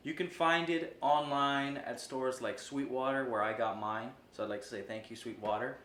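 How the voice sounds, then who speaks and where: A man's voice: mid-range voice, fairly even intonation, normal speed, fairly bright tone. A man casually explaining and reviewing gear in an informal, explanatory way. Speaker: host reviewing guitar gear. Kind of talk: casual product demo and review. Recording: roomy echo, noticeable background noise.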